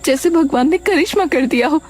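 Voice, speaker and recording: A woman's voice: high voice, fairly quick, darkish tone, soft background music, voice pushed up, natural voice. A woman voicing a caring, protective mother in a cartoon story, speaking in a tender, cautioning way. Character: caring mother of a poor laborer.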